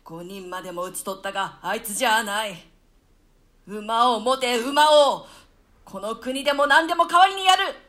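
Woman as embattled villain king: imperious, frantic, forceful and a touch high, archaic stage-play delivery. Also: normal speed, natural voice. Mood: frantic, desperate. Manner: imperious, shouted outbursts. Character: desperate, ferocious villain king fighting on foot. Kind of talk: classical stage-play reading in archaic Japanese.

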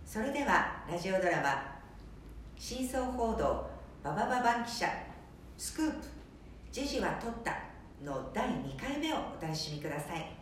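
A woman's voice: mid-range voice, sing-song, normal speed, long pauses, far from the mic, slight background noise. Cheerful woman hosting, echoey room. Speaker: host. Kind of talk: scripted radio presenting.

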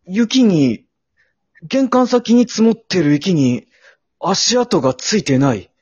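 Man acting a husky, flustered dad. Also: high voice, sing-song, darkish tone, lo-fi sound, full character voice. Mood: bewildered. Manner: exasperated, quick to protest. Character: flustered, sceptical young father, straight man of comedy. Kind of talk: amateur comedy radio drama acting.

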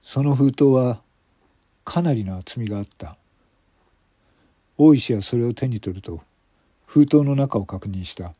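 男性がラジオドラマの台本を、間を取りながら語るナレーション。. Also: male voice, medium pitch, lively intonation, unhurried, long pauses, warm tone, slightly rough, no room sound. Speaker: narrator. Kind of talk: scripted radio drama narration.

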